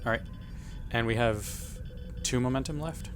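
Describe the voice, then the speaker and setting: A man's voice: medium pitch, a little slow, over music. A man talking casually as a player working through moves in a tabletop role-playing game.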